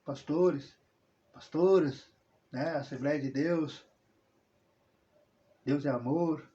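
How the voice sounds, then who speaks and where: A man's voice: fairly high pitch, extremely slow, dark tone. A man rambling through a casual personal monologue, full of tag questions and self-corrections. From southern Brazil. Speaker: religious video host. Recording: dull lo-fi sound.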